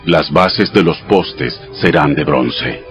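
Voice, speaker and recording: A man voicing God in a dramatized audio Bible, giving sacred commands in a measured, solemn, instructive way. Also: rumbling low. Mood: solemn, commanding. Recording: studio voice over background music.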